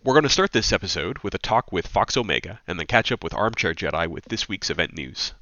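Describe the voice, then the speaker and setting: A man's voice: low-pitched, expressive, fast talker, sharp tone, dry recording. A man hosting a weekly podcast, a little stuffed up so he sounds slightly nasal. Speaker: podcast host. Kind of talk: casual podcast interview. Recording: dull lo-fi mic.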